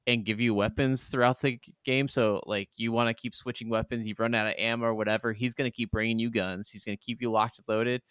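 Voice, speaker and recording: A man's voice: mid-range voice, fairly even intonation, quick pace, hardly any pauses, a bit bright, clean tone, no room sound, clean studio sound. A man chatting casually and emphatically about video games as a podcast co-host, swearing freely. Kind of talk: casual gaming chat.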